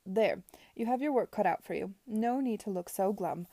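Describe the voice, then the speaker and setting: A woman's voice: mid-range voice, normal speed, mellow, no room sound, studio quality, close to an everyday voice. A woman voicing an imperious older aristocratic aunt who speaks curtly and condescendingly. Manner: commanding, curt, condescending.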